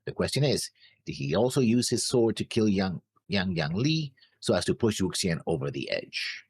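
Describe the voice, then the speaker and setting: A man co-hosting a reaction show, deliberately laying out his theories from prepared notes. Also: deep voice, sing-song, breathy edge, no echo. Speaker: co-host of an anime reaction show.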